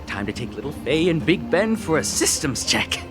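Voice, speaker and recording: A man's voice: fairly high pitch, big pitch swings, normal speed, music in the background. A man playing a grateful, emotional townsperson in a video game, with an effusive, earnest delivery. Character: grateful, emotional robot owner. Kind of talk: animated video game voice acting.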